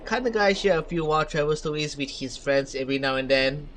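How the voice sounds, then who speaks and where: A man's voice: fairly high pitch, a little fast, a bit bright, a little hiss or hum. A man reading a video game's story aloud in a theatrical way as he plays. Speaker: gaming streamer. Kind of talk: reading game dialogue aloud while reacting.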